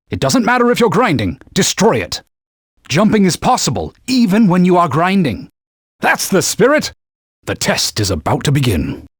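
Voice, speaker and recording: A man voicing a haughty, pompous talking sword that guides and scolds its wielder in a formal, stern manner, with a slightly husky voice. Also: no echo, clean studio sound, heavily acted. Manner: pompous, formal and scolding, turns shrill when alarmed. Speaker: sidekick guide. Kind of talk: video game voice clips. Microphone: dry studio mic.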